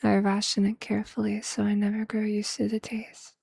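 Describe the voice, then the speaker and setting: A performer voicing a possessive, tender vampire lover in an intimate, breathy ASMR roleplay whisper, with a hushed, seductive and affectionate manner. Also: medium pitch, completely flat delivery, no room sound. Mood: adoring, seductive. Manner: slow, hushed, seductive, affectionate, formal wording.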